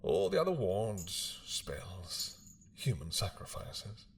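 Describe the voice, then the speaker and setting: A man playing a possessive, doting mad dragon king in a romantic roleplay drama, with a husky, breathy voice that often drops to a whisper and a regal, seductive manner. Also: lowish voice, wildly swooping pitch, a little hiss or hum, full character voice. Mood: sardonic, possessive. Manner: slow, regal, seductive purring menace.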